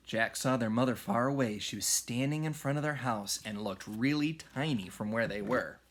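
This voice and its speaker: A man reading a children's story aloud in an expressive, dramatic way, moving between hushed and raised delivery. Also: medium pitch, normal speed. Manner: animated, dramatic, with hushed whispers and shouts. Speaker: read-aloud storyteller, music teacher.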